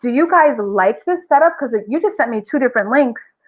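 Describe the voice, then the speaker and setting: A woman's voice: on the high side, lively intonation, fairly quick. A woman guest chatting casually and emphatically from an echoey office through a laptop microphone. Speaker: podcast guest. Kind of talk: casual chat. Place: bare-floored office, indoors. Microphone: built-in laptop microphone on a video call.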